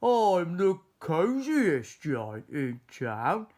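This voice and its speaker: A man's voice: sits high, very animated, very slow, a little mellow, pitched above the performer's own voice, full character voice. A man voicing a kind-hearted storybook giant in an emphatic, exclamatory way. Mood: delighted. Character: cheerful, kind-hearted young male giant.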